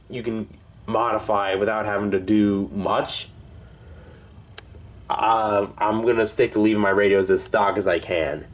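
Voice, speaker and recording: A man giving a casual, rambling tour of a hobby collection, explaining things informally as he goes. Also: fairly low pitch. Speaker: hobbyist presenting a radio collection.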